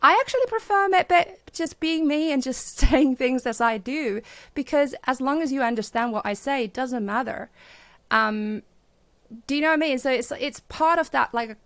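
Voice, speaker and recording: Finnish woman with a gravelly edge. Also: sits high, normal speed, a bit bright, dry recording. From Finland. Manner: candid and reflective, laughs often. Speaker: guest. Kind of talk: casual conversation.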